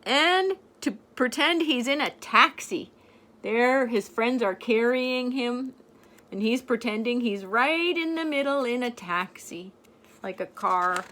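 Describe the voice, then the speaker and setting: An older woman reading a picture book aloud to a child in a gentle, playful way, pointing out the pictures as she goes. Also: fairly high pitch, lively intonation, takes it slowly, a little hiss or hum. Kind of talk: storybook read-aloud to a child.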